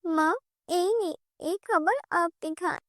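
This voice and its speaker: A woman voicing a kind, gentle young heroine in a cartoon, speaking softly and earnestly. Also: extremely high pitch, measured pace, no room sound, clean studio sound. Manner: soft, earnest. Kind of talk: cartoon dubbing.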